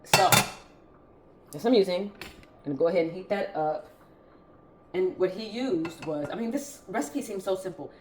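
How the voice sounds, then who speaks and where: Woman, Southern US accent, low pitch, chatty cooking host, casual delivery, faint kitchen noise. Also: normal speed, long pauses. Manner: chatty, informal. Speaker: home cooking vlog host. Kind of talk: casual cooking demonstration talk. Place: indoors in a home kitchen. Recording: roomy kitchen echo, clattering utensil noise.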